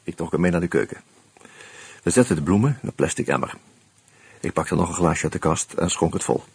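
Wry storyteller in dutch radio play, really husky low voice, dry and close. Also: male voice, expressive, brisk, no echo, full character voice. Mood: wry, matter-of-fact. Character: wry male first-person narrator, fond of a drink. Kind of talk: scripted radio drama narration.

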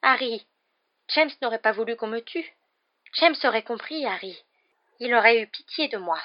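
A woman's voice: on the high side, expressive, normal speed, muffled recording, pitched above the performer's own voice, lightly acted. A woman voicing a cowardly, grovelling middle-aged man in a storybook, pleading and stammering in a whining voice. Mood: wheedling, pleading.

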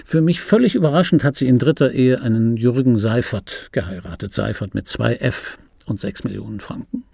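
An actor playing a wry, world-weary middle-aged film dubbing actor, reminiscing in a dry, laconic, intimate radio play monologue with a gravelly, husky voice. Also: male voice, mid-range voice, normal speed, mellow, no room sound. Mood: resigned, musing. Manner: dry, laconic, musing aloud. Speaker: radio play actor, first-person narrator.

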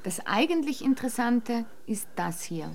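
An actress playing a cool, cheeky young crime novelist and sleuth in a radio play, wry and self-assured. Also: female voice, a little high, sing-song, normal speed, a little mellow. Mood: amused, sly. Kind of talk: German radio play dialogue.

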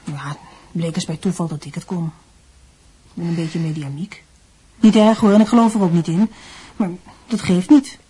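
A middle-aged mother in a Dutch radio drama. Her voice is husky, with a gentle, doting way of explaining. She tends to sidestep evasively. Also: on the low side, fairly even intonation, normal speed, pauses often, poor audio.

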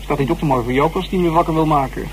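A cheeky, inquisitive young man played by an actor in a radio drama. His voice is fairly high, his talk colloquial and teasing, on a faintly noisy recording. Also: racing, lightly acted.

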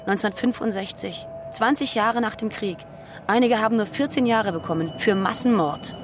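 Actress as defiant West German underground militant, female, medium pitch, terse, radio drama, faint vehicle ambience. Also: a little fast, noisy background, natural voice. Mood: guarded, confessional.

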